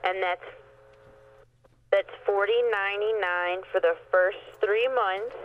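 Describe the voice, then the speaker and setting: A woman speaks as a customer service agent on a call. She comes through a tinny, band-limited phone line with a middling pitch for her explanations. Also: fairly even intonation, slow, a little hiss or hum. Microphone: telephone.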